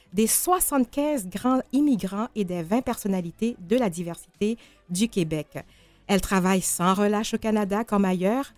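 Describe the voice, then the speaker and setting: A woman's voice: mid-range voice, a little mellow, dry recording. A woman hosting a radio interview show, presenting and questioning her guest.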